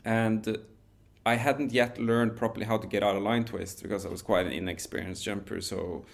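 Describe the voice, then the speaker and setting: A man, telling a story as a podcast guest in a relaxed, measured way with frequent restarts. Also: on the low side, vocal fry. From Norway. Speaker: guest, wingsuit pilot.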